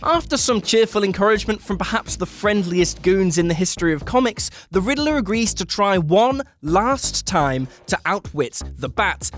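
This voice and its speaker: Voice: mid-range voice, fairly bright tone. A presenter reading a scripted countdown in an emphatic storytelling way, with cheeky, slangy asides. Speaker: presenter of a comics countdown video. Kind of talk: scripted narration of a countdown list.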